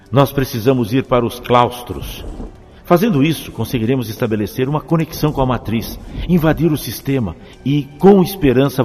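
Male actor as steady, purposeful sci-fi adventurer; medium pitch, hall echo. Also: natural voice. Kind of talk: audio drama dialogue. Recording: long hall reverb, music underneath.

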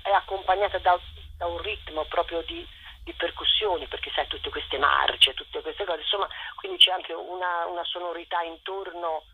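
A woman giving spontaneous, halting interview answers as a theatre director over the phone. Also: on the low side, expressive. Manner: halting, searching for words. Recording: muffled phone line with faint noise.

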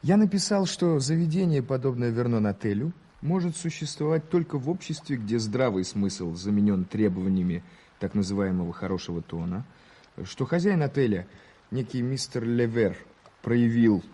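An actor playing a curious, ironic journalist in a 1980s Soviet radio play, telling things in an emphatic, declamatory manner. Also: male voice, mid-range voice, animated, moderate pace, warm tone, lightly acted. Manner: theatrical, declamatory, emphatic storytelling. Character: Mr March, curious, ironic gentleman journalist, eager raconteur. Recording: hissy old radio drama tape.

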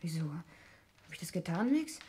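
A woman's voice: fairly low pitch, very animated, unhurried, dark tone, slight background noise. An actress playing an anxious, defensive young woman in a 1960s German radio mystery, flustered and breaking off mid-sentence.

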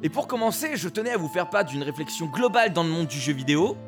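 An actor playing an excitable, gushing male presenter of a video game radio show in an audio drama. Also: a little high, quick pace, music in the background, natural voice. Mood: curious, admiring.

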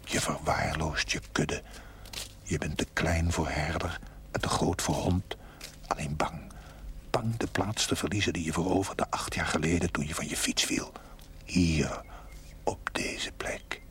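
A stern inner voice in a television drama, very low and half-whispered. He scolds a chaplain deliberately and theatrically, full of reproach. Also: steady tone, fairly bright tone, heavily acted. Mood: reproachful, ominous.

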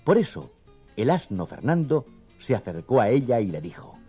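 A man narrating a scripted children's story in an expressive, theatrical storytelling manner. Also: medium pitch, normal speed, slightly rough, soft background music.

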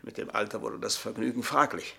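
An older actor playing an embittered, boastful manservant in a German radio play, speaking in a florid, mocking, theatrical way. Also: male voice, on the low side, fairly even intonation, brisk, creaky, pushed and bright, exaggerated voice. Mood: bitter, confiding. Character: aging, embittered, boastful manservant taunting his mistresses.

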